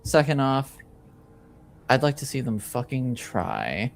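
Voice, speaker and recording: A man's voice: mid-range voice, very animated, slow pace, gravelly, a little hiss or hum, exaggerated voice. A man voicing a cocky, unflappable informant for hire in a fantasy tabletop game, casual and defiant.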